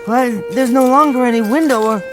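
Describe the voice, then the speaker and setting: An actor playing a meek, bookish bank clerk who talks to himself in an old-time radio drama style. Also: male voice, very high-pitched. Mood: anxious, searching. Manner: talks to himself, halting repetitions when shaken. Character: meek bookish male bank clerk, dazed lone survivor. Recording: radio drama with music underneath.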